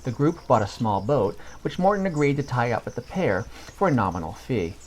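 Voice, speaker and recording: A man narrating a scripted fantasy story as a podcast storyteller, in a measured reading manner. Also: medium pitch, sing-song, slight background noise.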